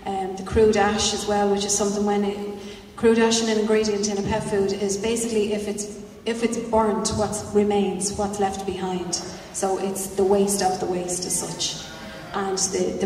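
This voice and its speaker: A woman's voice: medium pitch, dark tone. A woman answering at a panel discussion, rambling and full of "you know" asides. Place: large hall at a festival panel. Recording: roomy hall, distant mic, faint noise.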